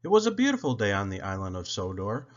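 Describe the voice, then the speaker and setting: A man reading a children's story aloud with expressive, animated storytelling. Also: fairly low pitch, fast talker, lo-fi sound. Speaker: storybook reader.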